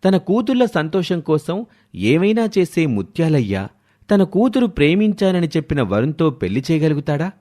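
A man's voice: a little high, very animated, moderate pace, dark tone. A man narrating a scripted moral story as a dramatic storyteller.

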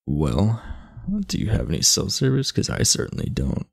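A man playing an aloof, irritable, sleepy teenage delinquent in an ASMR roleplay, blunt, sardonic and guarded, with a low, gravelly voice. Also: sing-song, normal speed, dry recording, heavily acted. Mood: annoyed. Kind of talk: ASMR roleplay, speaking to the listener.

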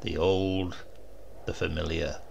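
A man narrating a scripted story as an audiobook reader, with deliberate, theatrical phrasing. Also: low voice, flat delivery, gravelly, a little hiss or hum.

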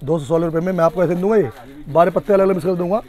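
A middle-aged man pitches his wholesale stock at a rapid clip, repeating each price as he goes. His voice sits a little high. He is recorded on a phone close by in a small, packed shop. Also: a little mellow. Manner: rapid, repeats price figures, persuasive seller. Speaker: wholesale shopkeeper. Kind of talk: fast sales pitch rattling off prices. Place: small crowded shop, indoors.

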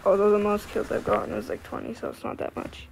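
Woman, quiet and scratchy, loose trailing-off game chatter; gravelly tone over a noisy recording. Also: mid-range voice, wildly swooping pitch, fast. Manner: quiet, soft-spoken, trailing off. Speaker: gaming video creator. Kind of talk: rambling casual commentary over gameplay.

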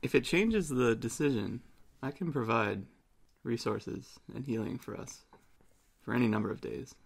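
Husky, deadpan man role-playing. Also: mid-range voice, moderate pace. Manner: terse, deadpan. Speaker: tabletop game player. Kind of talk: in-character role-play.

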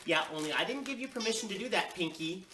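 A man acts out a bossy little kindergarten girl from a storybook, excitable and swooping in pitch. The delivery is bright and emphatic, with childish grammar. It sounds roomy and slightly far from the mic. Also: moderate pace, slight background noise, full character voice. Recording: roomy echo, distant microphone.

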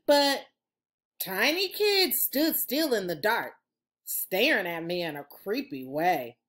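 A middle-aged woman reading a children's storybook aloud like a teacher, with dramatic, drawn-out emphasis. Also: medium pitch, sing-song, a bit bright. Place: room at home. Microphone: video call, computer at desk distance.

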